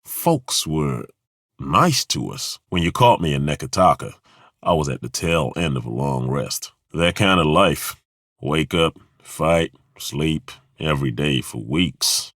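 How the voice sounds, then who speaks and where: A man's voice: a bass voice, expressive, slow pace, a bit bright, no echo, full character voice. An actor playing a weary middle-aged male mercenary and former arena fighter, talking in a dry, sardonic, laid-back way. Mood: reflective. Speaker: companion character. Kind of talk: video game voice lines. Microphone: close studio mic.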